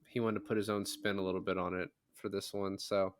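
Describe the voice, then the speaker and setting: A man's voice: low-pitched, little pitch movement, a little fast, no room sound. A man talking casually about films as a podcast co-host, explaining things in a conversational way. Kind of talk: casual film discussion.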